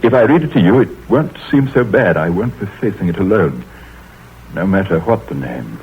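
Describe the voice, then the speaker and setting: A troubled, frightened gentleman of means, hesitant and tense. His voice is deep, heard through a tinny old radio broadcast with a faint hiss. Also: lively intonation, moderate pace. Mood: fearful. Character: anxious wealthy middle-aged English gentleman. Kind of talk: 1930s radio drama acting.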